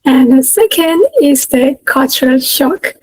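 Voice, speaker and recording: A woman's voice: sits high, unhurried, crowd noise, rough recording. A woman in her thirties from Myanmar with a Burmese accent, answering interview questions hesitantly with many fillers and restarts over a video call. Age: 30s. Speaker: guest. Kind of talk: casual interview.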